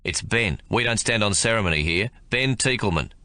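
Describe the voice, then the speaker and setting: A man playing a blunt, steady army sergeant. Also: on the low side, normal speed, no room sound, studio quality. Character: gruff male Australian army sergeant.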